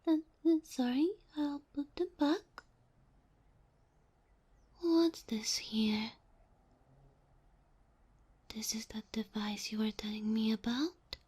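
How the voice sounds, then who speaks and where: A woman voicing a sweet, curious young kitsune fox girl in a soft ASMR roleplay, breathy and often near a whisper, speaking closely to the listener with naive, hesitant wonder. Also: fairly high pitch, steady tone, takes it slowly, pauses often. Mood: curious, delighted, then curious, tentative.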